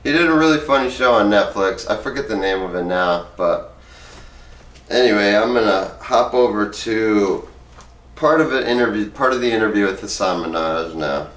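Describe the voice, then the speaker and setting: A man with a fairly low voice reads aloud and chats informally, like an amateur host sharing excerpts. He sounds a little set back from the microphone, in a clearly echoey room. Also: lively intonation, a bit bright. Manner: informal, rambling, halting when speaking off the cuff. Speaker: host reading book excerpts aloud and commenting. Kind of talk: reading aloud and casual commentary. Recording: roomy echo, distant from the mic.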